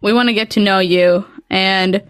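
A woman's voice: mid-range voice, fairly even intonation, moderate pace, music in the background. A woman with a Swedish accent hosting a podcast and asking casual interview questions in a chatty way. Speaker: podcast host.

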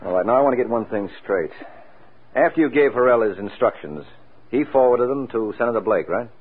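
A man's voice: medium pitch, expressive, fairly quick, slightly rough. A man playing a cool, wisecracking, flirtatious private detective in a 1940s radio drama, with a breezy, sardonic, teasing way of talking. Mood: probing. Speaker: radio drama lead actor. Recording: tinny, band-limited old radio recording.

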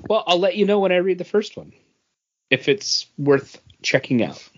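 A man's voice: high-pitched, lively intonation, moderate pace, dull audio. A man co-hosting a podcast, talking casually about comic books. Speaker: podcast co-host.

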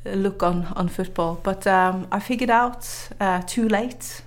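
A woman from Norway with a Norwegian accent, a journalist and author telling her story in an interview, chatty and quick to laugh. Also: medium pitch. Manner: chatty, laughs often, many fillers and restarts.

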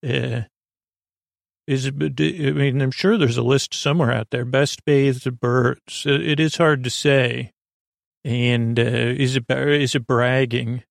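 A man with a creaky voice hosting a podcast as a soothing, gently whimsical bedtime monologue, meandering and hesitant, with many tangents and pauses. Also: mid-range voice, fairly even intonation, no echo, clean studio sound. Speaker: podcast host.

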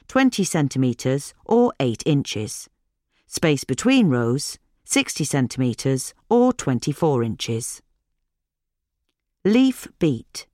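Low-voiced woman reading instructional narration. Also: a little mellow, no room sound, studio quality. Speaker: narrator reading a gardening magazine article.

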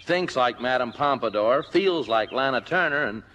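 An actor playing a breezy, joking neighbor who offers advice in a mid-century radio comedy. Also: male voice, medium pitch, animated, normal speed, pushed and bright. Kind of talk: 1950s radio comedy acting. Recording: hissy old radio recording.

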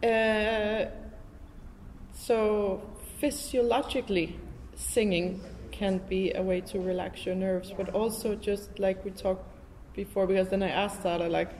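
A woman's voice: mid-range voice, little pitch movement, measured pace, darkish tone. Woman interviewer in a noisy, echoing hall. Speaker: interviewer, podcast host. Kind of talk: casual, reflective conversation. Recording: noisy, reverberant hall recording.

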